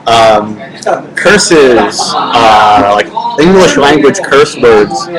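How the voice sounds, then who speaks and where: Rambling young man over loud crowd noise. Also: mid-range voice, rough recording. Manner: loose and rambling, many filler words and restarts. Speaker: livestream host answering viewer questions. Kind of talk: casual chat. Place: home webcam livestream.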